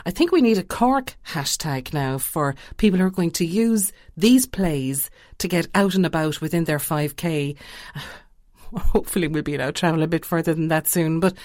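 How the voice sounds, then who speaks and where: A woman's voice: sits low, animated, normal speed, a little mellow, no echo, clean studio sound. An Irish woman chatting enthusiastically as a radio interviewer.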